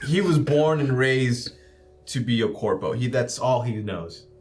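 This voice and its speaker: A man playing a tabletop roleplaying game, casually improvising a character description in a halting, conversational way. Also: medium pitch, animated. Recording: music playing underneath.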